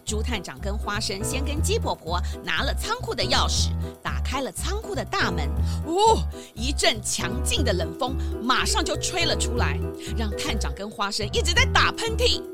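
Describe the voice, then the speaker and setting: A woman narrating a children's story in an expressive, explanatory storytelling style. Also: fairly high pitch, normal speed, few pauses. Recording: loud music behind the voice.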